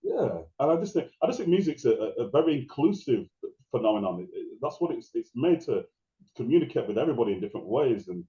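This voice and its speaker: A middle-aged man with a deep voice, a guest DJ chatting casually in an interview and telling stories with many restarts. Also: sing-song, fast, dark tone, reverberant, muffled recording. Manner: rambling, restarts and laughs while telling stories.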